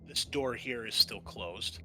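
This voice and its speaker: A man working as game master of a tabletop roleplaying game, narrating in a conversational, halting way. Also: mid-range voice, pushed and bright, faint music behind.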